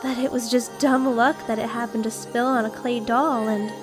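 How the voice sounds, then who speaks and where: A woman's voice: fairly high pitch, fairly even intonation, moderate pace, music in the background, lightly acted. A woman voicing a proud divine pony aunt, in a fan audio drama. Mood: musing. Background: musical score.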